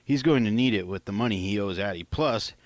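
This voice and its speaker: A man's voice: on the low side, fairly quick, dry recording, lightly acted. A man reading as a blunt young skater buddy. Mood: blunt, dismissive. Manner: blunt, slangy, profane.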